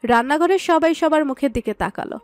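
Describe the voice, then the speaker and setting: A woman narrates a scripted story in a high-pitched voice. The sound is close and dry, with no room around it. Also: animated, brisk, no room sound, faint music behind.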